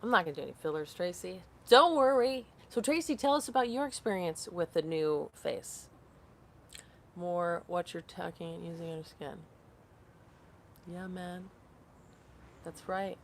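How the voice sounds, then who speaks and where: A woman's voice: lowish voice, animated, unhurried, vocal fry, long pauses, a little hiss or hum. A woman in her late thirties hosting a casual live stream, answering viewer comments aloud in a rambling way. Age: 30s. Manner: rambling, reads comments aloud and loses her train of thought.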